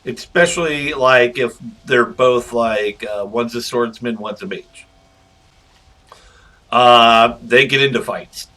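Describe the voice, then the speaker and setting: A man's voice: medium pitch, slow, pushed and bright, low-quality recording. A man doing casual, chatty game commentary as a channel host, rambling informally through asides.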